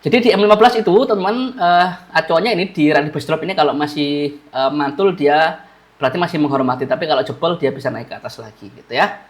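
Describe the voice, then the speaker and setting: A man's voice: fairly high pitch, fast talker. Male market analyst, congested nasal voice, casual repetitive walkthrough of charts. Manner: casual, repeats key phrases, addresses viewers as friends. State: stuffy-nosed, under the weather. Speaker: trading analyst.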